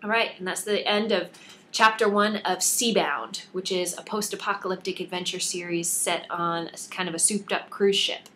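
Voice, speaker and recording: A woman's voice: on the low side, steady tone, normal speed, a bit bright, slight background noise. Woman reading fiction aloud. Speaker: author reading own book aloud. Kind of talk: scripted narration, audiobook reading.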